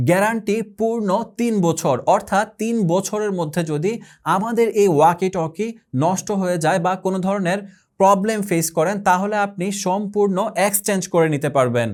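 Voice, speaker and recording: A man with a Bangladeshi accent reading a scripted product promo as an advertising announcer, formally and in short measured phrases. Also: sits high.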